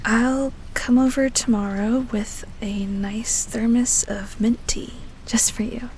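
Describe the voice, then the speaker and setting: A woman playing a devoted, teasing young woman secretly in love with a best friend, tender and halting in an audio roleplay. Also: on the high side, steady tone, a little mellow, slight background noise, low-quality recording. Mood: fond, caring. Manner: tender, halting, stammering when rattled. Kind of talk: audio roleplay acting to the listener.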